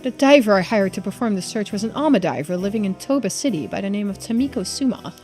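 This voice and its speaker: A woman voicing a polite, grave man in a mystery game, speaking formally and with measured courtesy. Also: medium pitch, expressive, darkish tone, soft background music, close to an everyday voice. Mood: remorseful.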